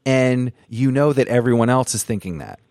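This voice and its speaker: Male podcast host, casual interview chat, mid-pitched voice, dry close sound. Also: moderate pace, darkish tone, dry recording.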